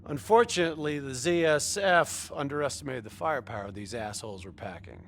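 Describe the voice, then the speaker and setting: A man's voice: mid-range voice, a little fast, creaky, fairly bright tone, slight background noise. A man playing a cocky, profane squad leader giving a punchy, sardonic military briefing in a video game. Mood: sardonic, brisk. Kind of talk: video game cutscene acting.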